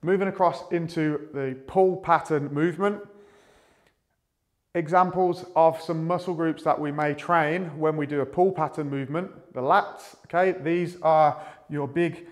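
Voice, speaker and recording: A man in his thirties with a fairly high voice gives an instructional talk as a fitness coach. He explains step by step in a measured, methodical manner, speaking to camera in a small room. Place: small indoor room with a whiteboard.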